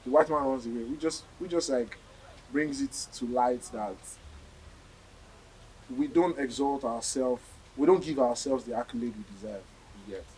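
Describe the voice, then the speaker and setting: A young man with a Nigerian accent answering interview questions as a student actor, haltingly and repeating himself. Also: medium pitch, darkish tone, slight background noise, rough recording. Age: young adult.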